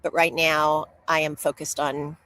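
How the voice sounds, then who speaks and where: Woman, lowish pitch, interview guest, author, candid, open, personal storytelling. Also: flat delivery, unhurried, sharp tone, a little hiss or hum.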